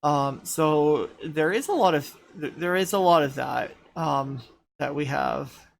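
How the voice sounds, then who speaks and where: A panelist from Ontario, Canada, talking haltingly with many "uh"s in a casual chat. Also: mid-range voice, vocal fry, a little hiss or hum.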